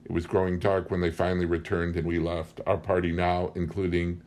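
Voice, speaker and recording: A man's voice: deep voice, little pitch movement, a little fast, darkish tone. A man reading a book aloud as scripted story narration. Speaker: audiobook reader.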